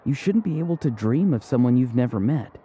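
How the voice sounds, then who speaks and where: Man playing a mellow, grave young warrior. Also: medium pitch, lively intonation, faint music behind, natural voice. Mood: serious, puzzled. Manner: measured, serious. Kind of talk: English video game character acting.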